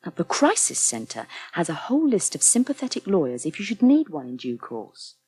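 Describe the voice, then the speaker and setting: Radio soap: supportive, plain-speaking counsellor woman, earnest and fierce, with a rough-edged animated voice. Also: lowish voice, normal speed, exaggerated voice. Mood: candid, bitter. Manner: earnest, plain-spoken, firm. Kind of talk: British radio soap acting.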